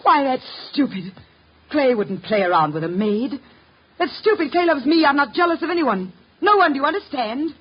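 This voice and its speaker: An actress playing the defensive, anguished wife of a murder suspect, dramatic and evasive, in a 1950s radio drama. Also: female voice, high voice, big pitch swings, moderate pace. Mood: indignant. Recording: muffled, hissy 1950 radio recording.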